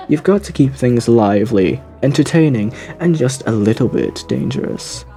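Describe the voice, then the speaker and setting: Suave gentleman radio demon, swooping intonation, mellow dark tone, theatrical, flirtatious, faintly menacing, audio roleplay. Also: mid-range voice. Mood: teasing, sly. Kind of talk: theatrical ASMR character roleplay monologue.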